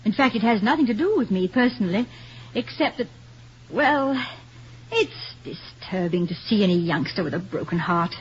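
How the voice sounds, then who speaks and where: An actress in an old-time radio comedy-drama playing the warm, witty wife of a college president, affectionate and gently arch. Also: female voice, mid-range voice, lively intonation, moderate pace, husky. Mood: troubled, gentle. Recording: muffled, noisy old radio recording.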